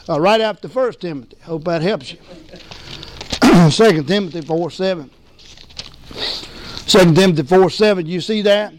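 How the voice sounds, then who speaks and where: A man preaching a sermon as pastor, hoarse from losing his voice, emphatic and calling for responses. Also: high voice, a little hiss or hum. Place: church sanctuary.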